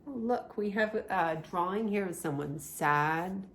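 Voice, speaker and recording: Woman, fairly low voice, expressive children's storytelling, echoey room, faint background noise. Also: unhurried, gravelly. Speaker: storytime host reading a picture book.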